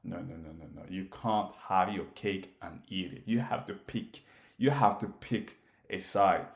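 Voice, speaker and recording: A Somali man with a low voice talks slowly and deliberately, firing challenging questions at the listener. He is a native Somali speaker, and his voice rings in an echoey room. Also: vocal fry. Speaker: commentator. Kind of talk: direct-address monologue.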